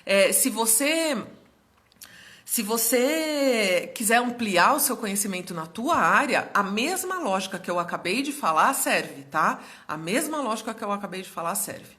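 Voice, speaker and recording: Woman, young adult, mentor host on a live stream; bright tone, a bit hoarse, chatty and explanatory, keeps checking in with viewers. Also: mid-range voice, very animated, measured pace. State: slightly hoarse.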